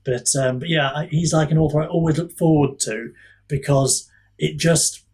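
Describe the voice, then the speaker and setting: Slightly husky man in casual chat. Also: fairly high pitch, fairly even intonation, moderate pace, darkish tone. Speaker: author and podcast guest.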